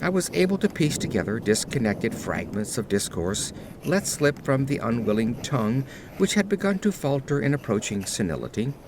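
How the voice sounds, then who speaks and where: A man playing a melancholy aged nobleman who recounts his accursed life in formal, measured gothic horror narration. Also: medium pitch, big pitch swings, noisy background. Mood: somber, melancholy. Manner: formal, measured, literary storytelling. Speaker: narrator of an audio drama.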